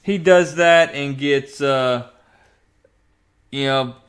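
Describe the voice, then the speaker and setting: A man's voice: medium pitch, very slow, a bit bright, clean tone. A man giving casual review commentary as a comic book reviewer, in a sarcastic, exasperated manner.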